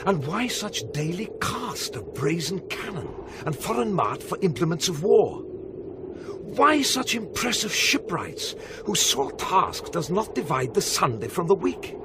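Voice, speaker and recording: Anxious British night-watchman, swooping pitch. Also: very animated, unhurried. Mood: grave, questioning. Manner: intense, theatrical, urgent. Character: earnest, anxious male night-watch soldier. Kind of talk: Shakespearean radio drama acting. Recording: noisy 1990s radio drama with ambience.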